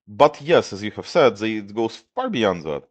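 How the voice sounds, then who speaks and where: A man's voice: fairly low pitch, moderate pace. A man with a Russian accent, a native Russian speaker, explaining his research as a guest on a tech podcast. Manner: explains plainly in a steady way, with some filler "like". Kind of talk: casual tech podcast chat.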